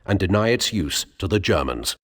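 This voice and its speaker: A man narrating a war history briefing in a solemn, measured, scripted documentary style. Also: low voice, very animated, slight background noise. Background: music.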